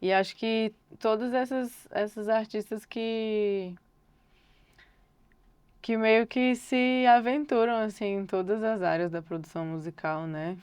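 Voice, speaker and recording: A young Brazilian woman, a singer-songwriter, answering interview questions thoughtfully and unhurriedly, often pausing on filler words. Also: on the high side, fairly even intonation, a little mellow, clear, resonant tone, no echo. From Brasília, Brazil.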